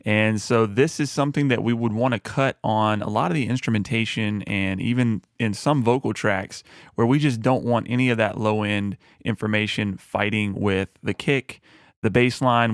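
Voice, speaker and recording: Low-voiced man explaining studio mixing. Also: normal speed, dry recording. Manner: relaxed, explanatory, with frequent fillers. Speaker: music mixing tutor. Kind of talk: screen-recorded mixing tutorial.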